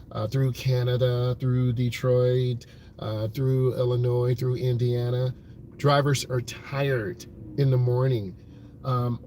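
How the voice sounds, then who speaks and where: A driving instructor speaks from inside his car, earnest and encouraging, stacking up repeated points with plenty of "uh"s. His voice sits at an ordinary middle pitch. Faint road ambience hums behind him. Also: a little mellow, a little hiss or hum. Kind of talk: vlog talking from a car.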